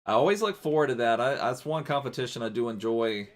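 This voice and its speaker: A man's voice: mid-range voice, expressive, fast talker. Chatty male game streamer. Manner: chatty, rambling, greets and thanks viewers. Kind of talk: casual live-stream gaming chat.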